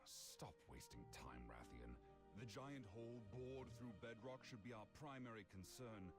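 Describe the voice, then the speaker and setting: An actor voicing a stern, impatient, haughty dragon warrior in a fantasy game. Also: male voice, medium pitch, lively intonation, moderate pace, over loud music, close to an everyday voice.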